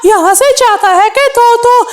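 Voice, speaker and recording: A woman's voice: extremely high pitch, a little slow, a little hiss or hum. A woman voicing a touchy, quarrelsome male bird in a children's cartoon, with a huffy, argumentative way of talking. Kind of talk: Hindi children's cartoon dialogue.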